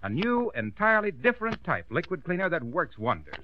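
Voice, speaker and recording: A man's voice: on the high side, wildly swooping pitch, fairly quick. An actor playing a genial, eager announcer and straight man in an old radio comedy. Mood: salesmanlike. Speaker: commercial announcer. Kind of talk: 1930s-40s radio comedy and advertising. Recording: dull lo-fi old radio recording.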